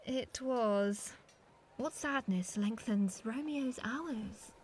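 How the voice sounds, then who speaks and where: A woman's voice: on the high side, extremely slow, a little mellow, a little hiss or hum. A woman playing an earnest, peacemaking young noble in a Shakespearean audio drama. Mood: concerned. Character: Benvolio, concerned peacemaking young noble kinsman.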